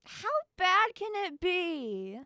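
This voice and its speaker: A mischievous party god acted by a woman drawls slowly in a very high, swooping voice. The manner is slangy, teasing and laid-back, a young co-host in an amateur audio drama. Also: very animated, sharp tone, exaggerated voice. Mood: egging on.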